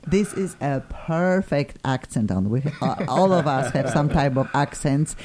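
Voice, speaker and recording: A woman with a Polish accent hosting a live radio talk show, excitable and full of sudden pitch swings, with broken English phrasing. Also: a little slow, crowd noise. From Poland.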